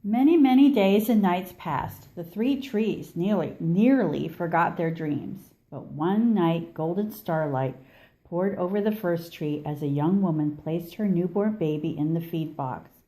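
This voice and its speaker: Mellow-voiced woman reading a storybook. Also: lowish voice. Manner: measured, dramatic pauses. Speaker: storybook reader. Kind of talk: expressive children's story read-aloud.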